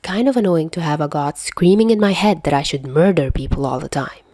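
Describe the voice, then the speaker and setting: A woman's voice: low voice, a little fast, dark tone, dry recording, studio quality. A woman voicing a casual, easygoing young man who talks nonchalantly in a story reading. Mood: laid-back, frank.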